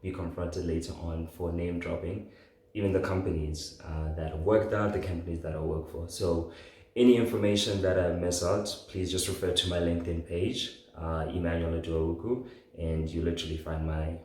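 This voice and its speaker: Casting: man, late 20s, low-pitched, informal podcast storyteller, recorded in a heavily echoing room. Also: flat delivery, moderate pace, a little mellow. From southern Africa, born in Zambia. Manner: informal, conversational, often says "like". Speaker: podcast host. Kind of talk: casual solo podcast storytelling. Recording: very echoey room.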